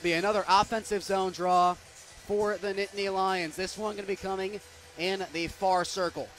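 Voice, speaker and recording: A young man calling hockey play-by-play, giving a rapid, steady account that climbs into loud, excited goal calls. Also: sits high, soft background music. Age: young adult. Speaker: play-by-play announcer.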